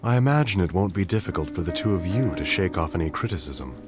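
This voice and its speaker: A man's voice: low voice, expressive, fast talker. An actor playing a cool, probing young nobleman in a game cutscene, speaking in a formal, precise, questioning way. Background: game soundtrack music.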